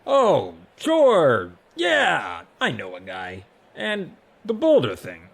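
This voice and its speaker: A man's voice: fairly high pitch, wildly swooping pitch, slow, gravelly, pitched above the performer's own voice, heavily acted. A man voicing a brash, careless god of rocks in a comic fantasy audiobook, with a blustery, offhand, bossy manner. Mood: breezy.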